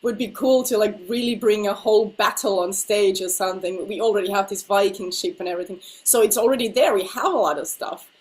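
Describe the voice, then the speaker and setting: A woman's voice: mid-range voice, brisk, few pauses, a little mellow. A woman with a slightly nasal voice from being ill, whose first language is Finnish, chatting casually over a video call as the interviewed singer and laughing often.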